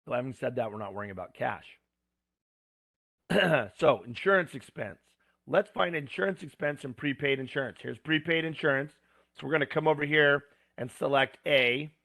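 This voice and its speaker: A man teaching, talking step by step through homework problems with plain asides, his voice going hoarse. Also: mid-range voice, normal speed, a bit bright, no echo, studio quality. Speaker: instructor. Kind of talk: screen-recorded homework walkthrough.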